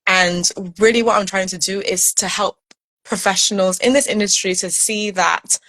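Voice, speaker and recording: A woman's voice: medium pitch, fairly even intonation, normal speed, a bit bright. A woman from Barbados with a Barbadian accent, a guest coach on a video call, explaining ideas earnestly with casual likes and restarts. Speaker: guest, burnout recovery coach. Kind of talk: casual conversation.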